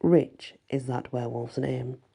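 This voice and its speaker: A woman voicing a laconic, protective teenage werewolf boyfriend with a deep rumbling voice and slow, understated replies. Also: vocal fry, mellow, breathy edge, exaggerated voice. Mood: amused, conceding.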